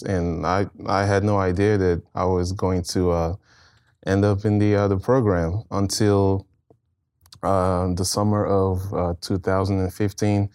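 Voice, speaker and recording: A man in his twenties with a deep voice. He talks as an interview guest in a reflective, hesitant way, with little rise and fall. The sound is close and dry. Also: darkish tone, dry recording. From Nigeria. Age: 20s. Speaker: guest, art student. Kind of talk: conversational interview.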